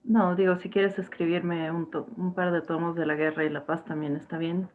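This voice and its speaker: A woman's voice: lowish voice, flat delivery, normal speed, slight background noise. Chatty young woman teacher on a tinny line. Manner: chatty, with restarts and fillers. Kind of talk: casual online class talk.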